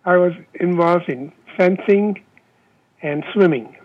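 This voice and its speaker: A man in his seventies with a Hungarian accent, pausing often with fillers as he answers interview questions over the phone. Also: high voice. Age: 70s. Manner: halting, many filler pauses. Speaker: interviewee, former Olympic fencer. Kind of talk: oral history interview. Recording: dull lo-fi phone line.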